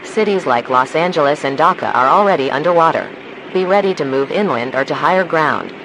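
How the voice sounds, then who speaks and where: A man playing a calm, formal emergency broadcast announcer, reading measured disaster instructions in a scripted alert. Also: a little high, noisy background. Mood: grave, instructive.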